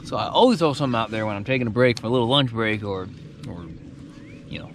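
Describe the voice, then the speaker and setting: Young man, casual fishing commentary, outdoors on a boat, boat-mounted action cam, loud wind and water noise. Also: medium pitch, fast talker. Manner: chatty, thinking aloud while fishing. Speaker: angler filming himself. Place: outdoors on a bass boat on a lake. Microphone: action camera mounted on the boat.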